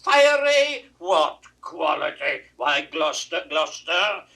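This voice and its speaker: A wrathful old king in a classical audio drama. His voice is fairly high and very forceful, swinging widely as he declaims with imperious pride. The 1960s recording places him a little away from the microphone. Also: sing-song, slow pace, distant mic, full character voice. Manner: declamatory, imperious, abrupt swings from muttering to roaring. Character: proud, aging king, wounded father, volatile and wrathful. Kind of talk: classical Shakespearean stage acting.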